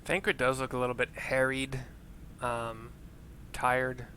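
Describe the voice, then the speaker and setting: A man's voice: mid-range voice, flat delivery, slow, sharp tone, a little hiss or hum. A man giving casual, chatty let's-play commentary as a streamer, loosely describing each scene aloud. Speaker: game streamer, narrator.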